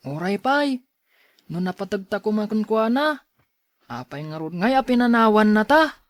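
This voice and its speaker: A woman's voice: medium pitch, lively intonation, normal speed, no room sound, studio quality, lightly acted. Woman acting keen, blunt young schoolboy. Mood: resentful. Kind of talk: Ilocano radio drama acting.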